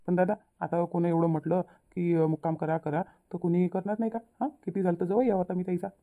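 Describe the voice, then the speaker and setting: A woman's voice: fairly low pitch, fairly even intonation, a little fast, lightly acted. A defensive, soothing young newlywed son, played by a woman, speaks through tinny, phone-like cartoon audio. He hurries through pleading explanations in a Vidarbha Marathi accent, apologetic and eager to placate. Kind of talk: cartoon family drama.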